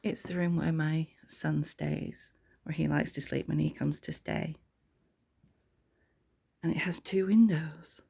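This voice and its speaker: A middle-aged English woman gives a gentle home tour, sniffly from hay fever and often dropping to a half-whisper. Her voice is mellow and a little gravelly, with a husky edge. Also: low voice, fairly even intonation, takes it slowly, long pauses. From England. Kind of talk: casual home tour narration. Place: cottage bedroom, indoors.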